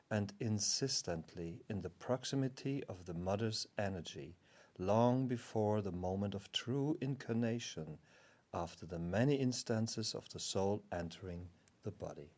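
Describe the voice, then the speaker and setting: A low, unhurried cosmic guardian spirit, voiced by a man. The speech is formal and measured, like a calm teacher of spiritual matters, solemn and very deliberate.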